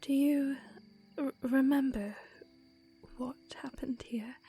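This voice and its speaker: Actress as young princess haunted by loss: high voice, hushed and halting delivery, music underneath, audio drama. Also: female voice, steady tone. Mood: tentative, sad. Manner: halting, hushed, formal wording. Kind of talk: fan audio drama acting.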